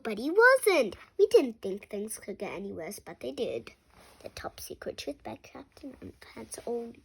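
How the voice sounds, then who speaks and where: A young child of about seven reads a comic storybook aloud. The voice is high and swings up and down with lots of animation. The delivery is expressive, with small stumbles on harder words. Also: breathy edge, low-quality recording. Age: 7 years old. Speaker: young reader.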